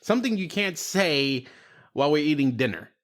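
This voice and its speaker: A man's voice: fairly high pitch, sing-song, normal speed. A man chatting casually with young kids, asking questions and repeating their answers back. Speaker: host interviewing his children.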